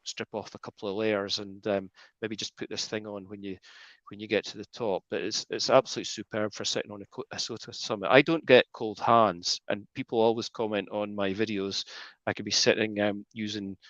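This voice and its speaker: A man's voice: lowish voice, fairly bright tone, dry recording. A Scottish man chatting at length on a video call as a guest radio amateur, often restarting his sentences. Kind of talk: casual video call chat. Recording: dull, dry video call audio.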